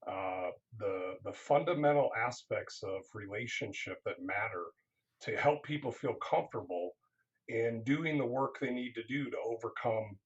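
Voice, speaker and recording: A man's voice: on the low side, normal speed, dull audio. A man, a counseling professor, speaking earnestly in a casual interview, often restarting his sentences.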